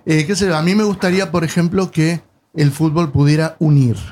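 A man's voice: on the high side, fairly even intonation, fairly quick, a little mellow. A man in his sixties with a Rioplatense Spanish accent, chatting casually and hesitantly with many fillers. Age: 60s. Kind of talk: casual chat.

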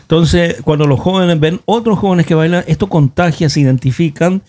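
A man's voice: on the high side, moderate pace, a little mellow. A man hosting a radio show, chatting casually with his guests as he interviews them. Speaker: radio host.

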